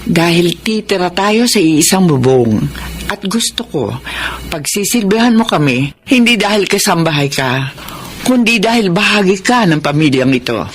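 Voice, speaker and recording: A Filipino actress playing a kindly but firm married lady of the house, speaking with measured, big-sisterly authority in a radio drama. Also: lowish voice, lively intonation, a little hiss or hum, close to an everyday voice. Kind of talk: Tagalog radio drama acting.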